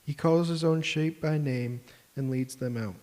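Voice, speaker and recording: Mellow man reading scripture. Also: on the high side. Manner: measured, steady reading. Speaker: scripture reader. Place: church sanctuary.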